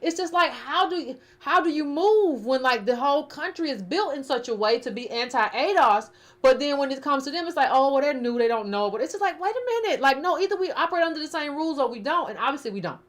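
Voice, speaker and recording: Woman, quick pace, slightly high pitch, colloquial, emphatic, repeated phrases, casual talk host. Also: lively intonation.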